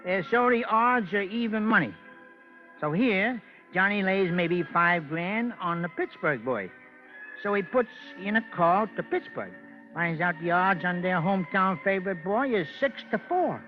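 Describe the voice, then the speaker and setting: An actor playing a nervous, wheedling small-time hustler in an old radio crime drama, stammering and putting on genteel airs. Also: male voice, sits high, animated, a little slow. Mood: eager, chatty. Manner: stammering, hesitant, mock-genteel. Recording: muffled old radio recording, music behind.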